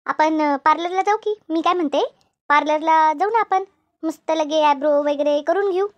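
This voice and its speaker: Woman acting very high-pitched, chatty village housewife. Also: normal speed, dry recording, highs cut off, lightly acted. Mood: chatty, self-assured. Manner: gossipy, emphatic, colloquial. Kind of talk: animated comedy cartoon dialogue.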